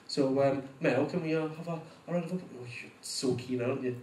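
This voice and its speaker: A man's voice: a little fast, a little mellow, a little hiss or hum. A man introducing the next act at a comedy club, hesitant with lots of fillers. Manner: hesitant with many fillers, then booming announcement. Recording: echoey room, voice from afar.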